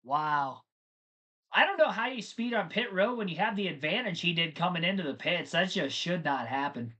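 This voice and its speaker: A man's voice: sits high, lively intonation, normal speed, sharp tone. A man hosting a live race stream, chatting casually and rambling to his viewers between race updates. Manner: chatty, rambling, talks to chat.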